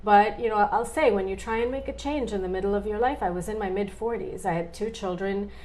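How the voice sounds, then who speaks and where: A woman in her forties, a guest in a casual interview, talking in a halting way with many fillers and restarts. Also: medium pitch, a little mellow, a little hiss or hum, rough recording. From born in Australia, raised in India and Canada.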